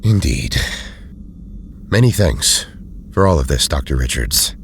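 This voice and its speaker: An actor playing an erudite, weary but loyal scientist in an audio drama, speaking in formal, deliberate phrases. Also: male voice, a bass voice, expressive, gravelly, a little hiss or hum, heavily acted. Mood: polite, careful.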